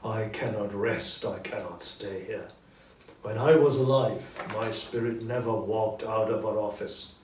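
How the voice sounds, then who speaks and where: A solemn storybook ghost of a dead businessman, acted out by a man, speaks slowly and emphatically. The voice is anguished and full of regret. It rings in a roomy echo, some distance from the mic. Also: fairly low pitch, fairly even intonation, darkish tone, a little hiss or hum, close to an everyday voice. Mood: weary, restless. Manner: slow, solemn, emphatic declamation.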